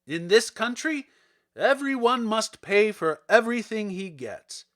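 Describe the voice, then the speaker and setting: Storybook wizard, a huge floating head played by a male reader: booming, imperious, raised pitch, slow and weighty delivery. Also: expressive, fairly bright tone. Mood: commanding, bargaining. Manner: imperious, emphatic, deliberate. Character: great disembodied wizard head, commanding, stern, terrible. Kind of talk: dramatic storybook character reading.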